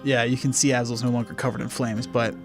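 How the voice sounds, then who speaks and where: Informal male game master, halting delivery. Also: medium pitch, little pitch movement, faint music behind. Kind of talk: casual improvised tabletop game narration.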